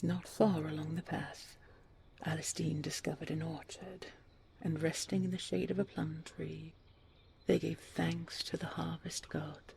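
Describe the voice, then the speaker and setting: A woman narrating a scripted bedtime fairy tale in a soft, breathy, soothing and unhurried way, as a storyteller. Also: sits low, slight background noise.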